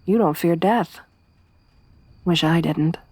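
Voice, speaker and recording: A young woman voicing a tough, tomboyish survivor companion in an ASMR roleplay, speaking softly with a wry, weary warmth. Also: fairly low pitch, slow, husky, no room sound. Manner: soft-spoken, wry, quietly reflective.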